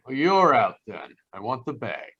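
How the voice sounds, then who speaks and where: A man's voice: mid-range voice, sing-song, moderate pace, bright, forceful tone, husky, exaggerated voice. An actor playing a sneering, menacing older little gunman in a 1940s-style radio noir drama. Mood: threatening. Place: home room, live video call performance. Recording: dull, lo-fi video call audio.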